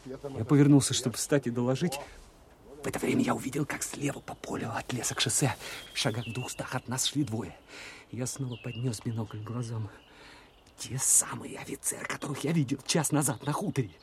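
An actor playing an earnest, watchful soldier who speaks plainly and colloquially in a wartime radio play. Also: male voice, medium pitch, steady tone, a little fast, noisy background, natural voice. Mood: urgent, excited. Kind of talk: first-person radio play narration.

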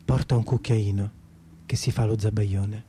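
A man giving a poetic recitation in a measured, lyrical manner. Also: on the low side, steady tone, mellow, a little hiss or hum. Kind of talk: slow poetic recitation over guitar. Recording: prominent guitar music behind the voice. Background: plucked guitar.

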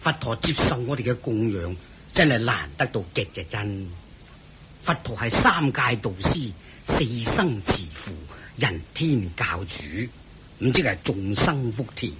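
An actor playing a devout lay host who eagerly and respectfully serves the Buddha, in a radio play. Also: male voice, medium pitch, big pitch swings, unhurried, background noise. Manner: respectful, eager, fussing politely. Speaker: householder hosting the Buddha. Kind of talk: Buddhist radio drama acting.

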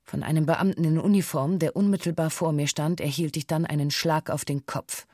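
Low-key formal witness, dry studio. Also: female voice, steady tone, a little mellow, no room sound. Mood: sober, factual. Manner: formal, deliberate statement-like delivery. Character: former hostage giving sober witness testimony of a bank siege. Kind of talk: scripted documentary radio drama monologue.

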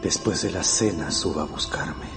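A brooding, infatuated young man in a radio play. His voice is very low, and he muses to himself with intensity. Music runs behind him. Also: moderate pace. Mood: hopeful longing. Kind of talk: radio drama acting, interior monologue. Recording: music bed under the voice.

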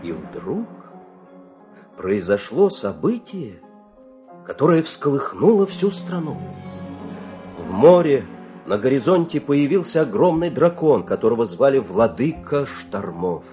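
A man tells a fairy tale as the narrator of an audio play. His delivery is theatrical and animated, moving widely in pitch and using dramatic pauses, with light music behind him. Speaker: storyteller, narrator.

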